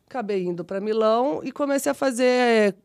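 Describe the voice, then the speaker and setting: A woman in her forties from São Paulo with a Brazilian São Paulo accent, telling her life story as a podcast guest in a chatty, animated, self-deprecating way. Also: on the high side, moderate pace. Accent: São Paulo Brazilian Portuguese. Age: 40s. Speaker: guest, model and actress. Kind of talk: casual interview storytelling.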